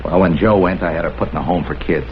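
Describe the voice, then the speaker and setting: A man's voice: deep voice, fast talker. An American man playing a slangy, cajoling small-time crook in a 1950s TV crime drama. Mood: needling. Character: wheedling small-time male crook pleading for a friend. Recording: muffled vintage TV soundtrack, faint noise.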